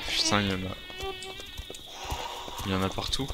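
A man's voice: deep voice, huge pitch swings, normal speed. A man improvising in-character survival gameplay commentary, thinking aloud in a hesitant, repetitive way. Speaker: gaming video creator playing a survival roleplay. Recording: clearly noisy gameplay recording.

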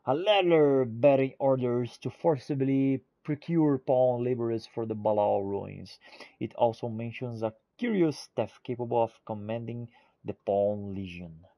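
A man giving expressive, exclamatory in-character gameplay commentary, thinking aloud as he roleplays. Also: mid-range voice, a little slow, no room sound, dull audio. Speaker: gamer roleplaying as a character in a playthrough.

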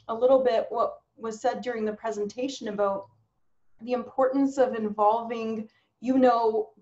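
A woman researcher explaining thoughtfully and with pauses in a webinar discussion over a video call. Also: on the high side, a little mellow, muffled recording. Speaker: social science researcher, panelist.

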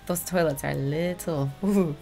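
Gravelly young woman co-hosting a podcast. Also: sits low, unhurried, faint music behind. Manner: excitable, giggly, laid-back. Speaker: podcast co-host. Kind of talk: casual chat. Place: home room with green curtain.

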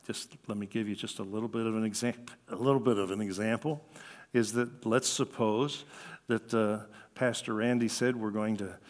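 An older American man preaching a conversational sermon, with an animated delivery that acts out quoted remarks. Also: on the low side. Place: church sanctuary at a lectern, indoors. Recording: reverberant hall.

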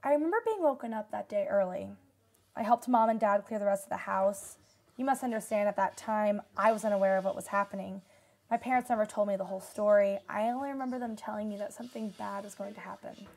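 A woman's voice: medium pitch, quick pace, darkish tone, natural voice. A young woman playing a solemn nineteen-year-old disaster survivor giving testimony in a radio drama. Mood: somber, reflective. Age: young adult.

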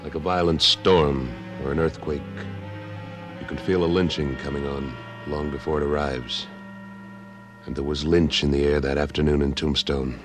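An actor playing a tough, steady cattleman and war veteran in an old-time radio western, terse and firm. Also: extremely low pitch, normal speed, music in the background. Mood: grave narration.

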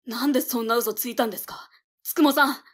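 A woman's voice: a little high, quick pace, a bit bright. A woman acting in an audio drama as a timid, devoted village boy, emotional and desperate. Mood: anxious, questioning. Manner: pleading, emotional.

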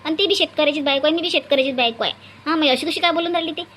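A woman's voice: sits high, rapid-fire, fairly bright tone, slight background noise. A woman voicing an outspoken, bossy farmer's wife in a cartoon, with a Varhadi Marathi accent. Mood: offended. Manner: bossy, argumentative.

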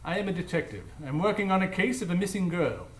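An actor playing a composed, precise gentleman detective in a live radio drama, speaking formally. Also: high voice, sing-song, quick pace. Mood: formal, probing. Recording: echoey live stage recording.

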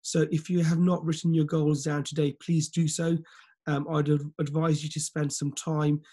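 A man in his forties, slightly croaky, hosting a motivational video call for his team, talking earnestly with lots of fillers and restarts. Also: fairly high pitch, flat delivery, moderate pace, mellow. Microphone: laptop webcam on a video call.

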